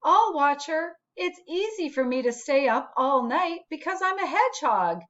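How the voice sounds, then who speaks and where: A woman voicing a young female hedgehog in a storybook, gentle and earnest, with a plaintive, self-doubting manner. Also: sits high, sing-song, moderate pace, lo-fi sound, voice pushed up. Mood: caring.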